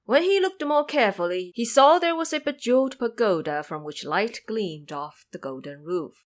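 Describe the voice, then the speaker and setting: Animated woman narrating a fantasy tale. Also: a little high, moderate pace. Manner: expressive, theatrical storytelling. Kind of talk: dramatic scripted story narration.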